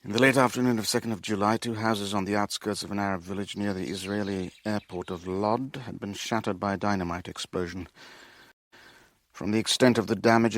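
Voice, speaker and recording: A man's voice: fairly low pitch, dry recording, close to an everyday voice. A man acting in a radio drama as a sardonic, defensive businessman and shipowner who is under attack.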